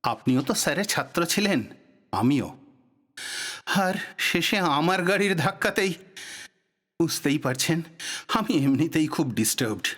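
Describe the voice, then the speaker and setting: Audio drama motorist, male actor: fairly high voice, polite, deeply troubled, guilt-laden. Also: very animated, moderate pace, pauses often, a bit bright. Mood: troubled, resigned. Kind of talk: Bengali audio drama acting.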